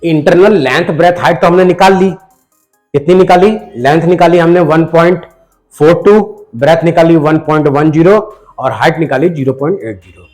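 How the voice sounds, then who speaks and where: Hoarse male math teacher. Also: a little high, darkish tone, soft background music, rough recording. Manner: patient, addresses the viewer directly, repeats numbers slowly. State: hoarse from a cold. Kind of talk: step-by-step math lesson voice-over.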